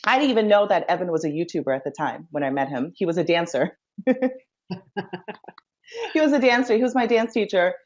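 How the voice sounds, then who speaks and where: A woman from India talks as a guest on a casual interview show, telling her story with upbeat enthusiasm. Her voice sits fairly low, and the sound is dull and lo-fi. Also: expressive, a little fast, long pauses. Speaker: guest, life coach.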